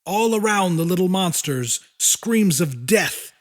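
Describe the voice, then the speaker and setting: A man narrates a dramatic audiobook. His voice is fairly high for a man, with lively pitch movement and a slow, deliberate pace. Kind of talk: dramatic scripted fiction narration.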